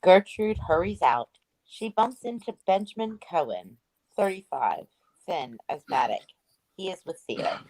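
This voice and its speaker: A woman reading stage directions for a play in a plain, measured scripted narration. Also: fairly low pitch, very animated, darkish tone, no room sound, low-quality recording.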